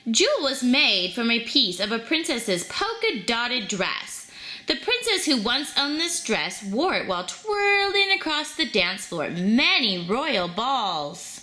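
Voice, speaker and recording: Woman storybook reader for young children, higher-pitched and very lively, expressive delivery. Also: measured pace. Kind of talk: children's story read aloud.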